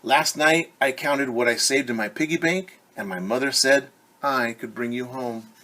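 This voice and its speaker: A man's voice: mid-range voice, moderate pace, bright, forceful tone, close to an everyday voice. A man voicing a cheerful, kind little girl while reading a picture book aloud. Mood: eager.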